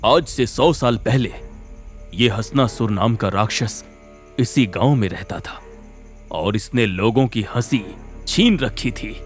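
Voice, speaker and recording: Wise, solemn holy man for a cartoon tale, male actor; unhurried delivery, music underneath. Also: on the low side. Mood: solemn explaining. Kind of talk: Hindi animated moral horror story acting.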